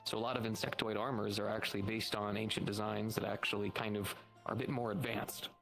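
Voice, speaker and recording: Man, lowish voice, brisk pace, casual improvised role-play, repetitive phrasing, faint music. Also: flat delivery, creaky, fairly bright tone, low-quality recording. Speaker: role-player as a monster hunter guild member. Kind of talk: improvised in-character chat in online role-play. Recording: music behind the voice.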